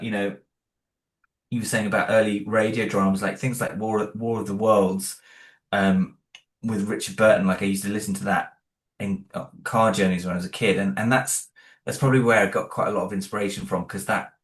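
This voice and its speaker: A man's voice: fairly low pitch, fairly even intonation, a little fast, a bit bright, roomy sound. A man, creator of a horror audio series, answering interview questions casually and hesitantly, with many restarts and fillers.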